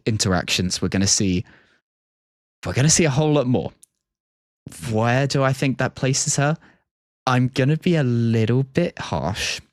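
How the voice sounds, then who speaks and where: A man giving casual livestream reaction commentary, enthusiastic and thinking out loud as he goes. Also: on the low side, no echo, studio quality. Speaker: streamer. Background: music from game trailers.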